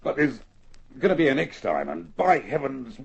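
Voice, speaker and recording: An actor playing a humiliated small-town police sergeant in an old-time radio drama, rough-spoken, grudging and indignant. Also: male voice, mid-range voice, wildly swooping pitch, normal speed, slight background noise. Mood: resentful.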